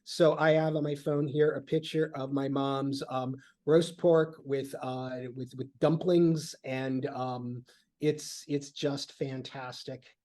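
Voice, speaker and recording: A man's voice: medium pitch, little pitch movement, dark tone. A man from Michigan, a podcast guest, chatting casually and rambling with lots of fillers and restarts. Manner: rambling, halting with many fillers. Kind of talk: casual chat.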